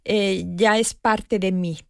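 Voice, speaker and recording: Thoughtful woman in her fifties, unhurried. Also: medium pitch, steady tone, darkish tone, clean tone, no echo, studio quality. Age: 50s. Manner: thoughtful, measured, with frequent pauses and hesitations. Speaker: guest expert on language learning. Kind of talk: radio conversation.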